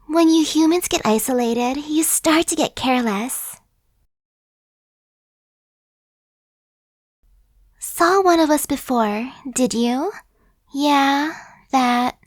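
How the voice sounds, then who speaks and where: A woman's voice: sits high, measured pace, dry recording, studio quality. A woman voicing a chatty, friendly female zombie who coaxes and persuades in a casual, sly way, in an ASMR roleplay. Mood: coaxing.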